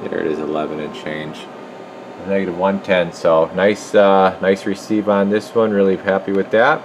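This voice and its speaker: A low-voiced man talks through a repair job at the bench in a loose, casual way. He thinks aloud in shop slang from behind a handheld camera. A clear hum of room noise sits behind him. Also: little pitch movement, normal speed. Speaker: radio repair technician presenting his work. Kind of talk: casual hands-on demonstration. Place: indoor electronics workshop. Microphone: handheld camera, speaker behind it.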